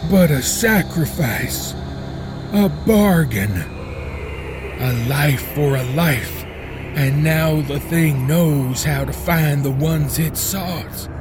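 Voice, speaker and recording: Man puts on scared old frontier fighter, slow and tense, climbing pitch, music behind. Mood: dread-filled. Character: frightened old frontier fighter, hunted and desperate.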